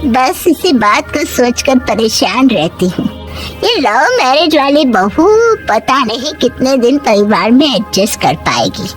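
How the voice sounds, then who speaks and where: A woman plays a middle-aged, suspicious mother-in-law from a cartoon moral tale. Her voice is very high and swings widely as she grumbles and frets dramatically. Faint music sits behind her. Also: big pitch swings, unhurried. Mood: worried, grumbling. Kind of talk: animated moral story dialogue.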